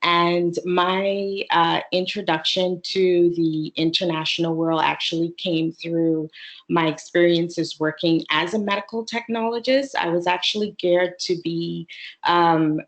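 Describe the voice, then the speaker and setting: A woman in her forties from the Bahamas, a lab manager telling her career story on a video call panel with frequent "um"s. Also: fairly low pitch. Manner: measured, even delivery with many "um"s. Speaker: laboratory manager, alumni panelist.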